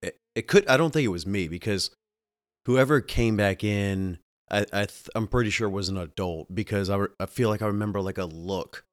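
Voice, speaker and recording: A man's voice: on the low side, normal speed. A man in his thirties with a stuffy, nasal voice, telling a rambling story as a show host and often stopping to restart his sentences. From US Southeast. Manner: rambling, self-interrupting, chuckling. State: stuffy nose. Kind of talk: casual storytelling. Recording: music playing behind.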